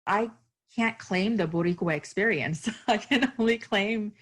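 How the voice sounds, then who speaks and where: A woman's voice: mid-range voice, measured pace, a bit bright. A woman author from Miami, speaking earnestly and reflectively as a podcast interview guest, with fillers and restarts.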